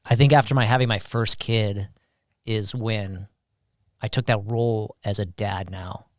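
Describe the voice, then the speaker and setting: A man's voice: fairly low pitch, fairly even intonation, a little slow, dry recording, studio quality. A man hosting a podcast, speaking in an encouraging, emphatic way and often repeating himself. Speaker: podcast host. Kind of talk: casual chat.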